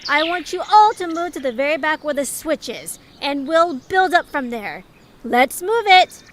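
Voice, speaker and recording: A woman's voice: high voice, expressive, moderate pace, slight background noise. A woman playing a bossy, self-assured young female leader in an audio drama, commanding and boastful.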